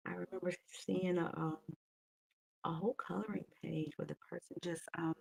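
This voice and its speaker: A woman in her fifties hosting an early-morning coloring video, talking softly and unhurriedly with a raspy morning voice, thinking aloud with many fillers. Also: lowish voice, fairly even intonation, moderate pace, no room sound, low-quality recording. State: still waking up. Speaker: coloring channel host.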